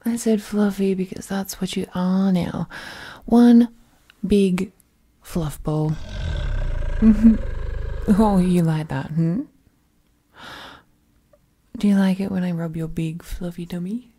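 A woman voicing an affectionate, teasing witch girlfriend in an intimate ASMR roleplay, soft and breathy, often slipping into whispers, with tender, playful cooing. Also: on the low side, fairly even intonation, slow pace, pauses often, a little hiss or hum. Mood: playful, doting. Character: affectionate, teasing witch girlfriend soothing her werewolf partner.

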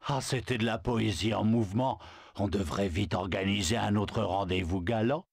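A man's voice: fairly low pitch, moderate pace, gravelly, husky, no room sound. An actor voicing a boastful, lovestruck male suitor who stammers when flustered. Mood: smitten. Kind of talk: video game dubbing.

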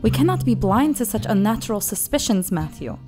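Young occult researcher played by a woman: dark mellow tone, medium pitch, grave theatrical diction, determined. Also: big pitch swings, a little fast, natural voice. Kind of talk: audio drama acting. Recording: faint music behind.

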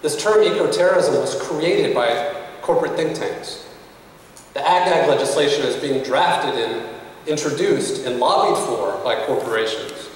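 A man in his thirties gives an earnest, measured speech from a podium, his voice climbing when he stresses a point. He is picked up by a camera well across the room, so the voice sounds distant. A long, booming reverb from the hall hangs after every phrase, with faint room noise underneath. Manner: earnest, measured speech that rises in pitch for emphasis. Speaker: conference speaker. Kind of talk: prepared conference speech. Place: hotel conference room at a podium. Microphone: podium mic, recorded by a camera across the room. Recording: echoey hall picked up from far off.

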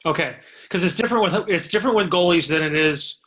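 A man's voice: high voice, fast talker, fairly bright tone. A man coaching over a video call, explaining step by step, repeating words and checking that the listener follows. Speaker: strength and fitness coach answering questions. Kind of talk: casual expert Q&A explanation. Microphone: webcam on a video call.

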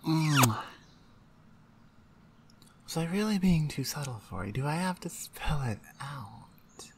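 A woman acting as a dominant female orc shaman in an ASMR roleplay, with a low voice. She is stern and deliberate, giving orders with a taunting, suggestive edge, over audible background noise. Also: animated. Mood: teasing, suggestive.

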